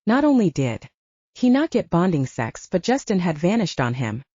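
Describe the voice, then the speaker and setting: A woman's voice: fairly low pitch, big pitch swings, moderate pace, dark tone, slightly rough. A woman reading scripted fiction as an audiobook narrator. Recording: roomy, dull lo-fi audio.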